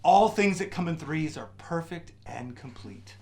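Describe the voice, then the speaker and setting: A man's voice: on the high side, animated, a little slow, darkish tone. A man playing a bookish professor ghost in a comedy web series, with a dramatic, gleefully pedantic lecturing manner. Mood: pompous, musing.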